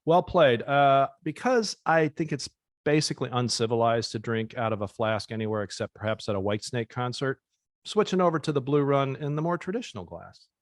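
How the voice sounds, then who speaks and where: A man's voice: medium pitch, brisk, no echo, studio quality. American man hosting a casual podcast. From United States. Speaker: podcast host.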